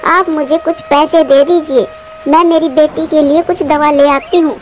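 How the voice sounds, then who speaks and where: Woman voicing a high-pitched, pleading mother bird. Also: fairly even intonation, normal speed, faint music behind, close to an everyday voice. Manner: pleading, tender.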